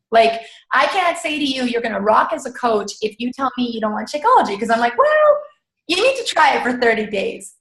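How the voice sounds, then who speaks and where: A woman speaking casually and with feeling about her work as a coach, emphatic and quick to laugh. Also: high voice, a bit bright. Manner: enthusiastic, emphatic, laughs often. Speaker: network marketing coach. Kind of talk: casual testimonial talk. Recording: echoey room.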